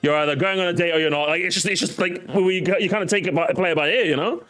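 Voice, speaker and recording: A man in his thirties hosting a livestream, chatting casually, animated, swearing and laughing often. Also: high-pitched, very fast. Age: 30s. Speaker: streamer host.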